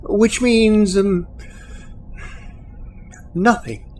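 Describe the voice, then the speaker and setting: A man playing a calm, matter-of-fact otherworldly guide to the newly dead in an audio drama, deliberate and detached. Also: sits high. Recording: faint background noise.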